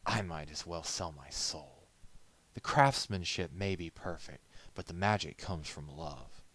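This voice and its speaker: A man's voice: deep voice, a little slow, fairly bright tone, husky, dry recording, heavily acted. A man voicing an anxious, lovestruck pony glassblower in a storybook reading, with an earnest manner.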